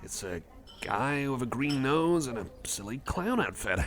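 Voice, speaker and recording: A man's voice: mid-range voice, lively intonation, a little slow, a bit bright, a little hiss or hum. A man doing a casual ASMR roleplay as a friendly, nerdy cyborg, rambling hesitantly and correcting himself with mild enthusiasm. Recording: cafe chatter behind the voice. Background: cafe crowd ambience.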